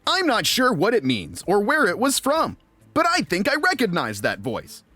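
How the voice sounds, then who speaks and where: A man's voice: high-pitched, wildly swooping pitch, moderate pace, bright, forceful tone, slightly rough, dry recording, full character voice. A man voicing a cheerful, naive, friendly robot who narrates an audiobook in an earnest, guileless way. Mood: hopeful.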